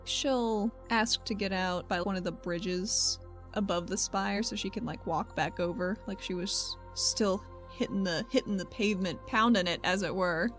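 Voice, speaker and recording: A woman's voice: mid-range voice, normal speed, faint music behind. A woman playing a tabletop game, describing her character's moves in casual, joking, improvised chat.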